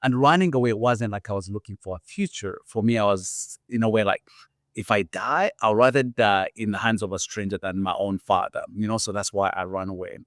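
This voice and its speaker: A man's voice: fairly low pitch, a little fast, fairly bright tone, dry recording, studio quality. A middle-aged man with a Ugandan accent, a podcast guest earnestly telling his life story and leaning on "you know" as he talks. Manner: earnest, reflective, repeats "you know" often.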